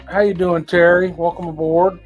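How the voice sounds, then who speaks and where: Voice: medium pitch, little pitch movement, moderate pace, narrow, tinny audio, soft background music. A co-host on a casual outdoors livestream who mostly chimes in with short reactions and agreement.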